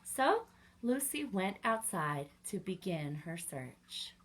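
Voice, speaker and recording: A woman's voice: mid-range voice, very animated, slow pace. A woman hosting a library story time, reading aloud and chatting directly to young children with frequent exclamations.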